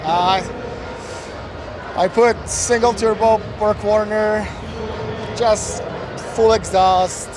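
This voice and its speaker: A man's voice: high voice, fairly even intonation, extremely slow, pauses often, music in the background. A man in his thirties chatting casually as a guest, laid-back with dry, reluctant jokes, in a large indoor show hall. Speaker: car owner guest. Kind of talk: casual chat. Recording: noisy crowded indoor hall. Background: crowd chatter and hall noise.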